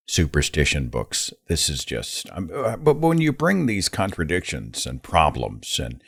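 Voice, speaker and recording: A man's voice: extremely low pitch, no echo, clean studio sound. A man hosting an interview, asking questions in a conversational, opinionated way. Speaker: podcast host.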